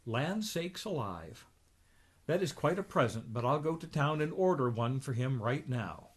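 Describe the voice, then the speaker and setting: Man playing elderly grandmother duck, comic-book character, kindly, folksy, gentle. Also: mid-range voice, lively intonation, measured pace, breathy edge. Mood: astonished. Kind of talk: comic book read aloud.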